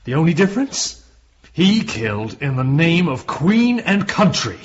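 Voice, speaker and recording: Slow-spoken, sceptical Victorian gentleman. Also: fairly high pitch, sing-song. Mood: scornful. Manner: deliberate, probing, emphatic. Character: inquisitive, sceptical gentleman questioning a clergyman. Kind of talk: period radio drama acting. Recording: dull lo-fi audio.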